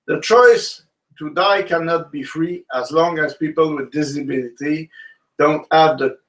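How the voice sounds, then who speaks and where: Halting man, a bit off-mic. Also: on the high side, fairly bright tone, recorded from a distance, poor audio. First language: French. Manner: halting, searches for words in his second language. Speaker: co-host. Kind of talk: casual panel discussion.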